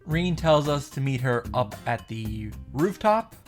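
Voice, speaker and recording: A man's voice: mid-range voice, big pitch swings, measured pace. A man giving a casual, opinionated story recap and commentary, with emphatic, sarcastic asides. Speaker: video creator recapping a visual novel. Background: background music.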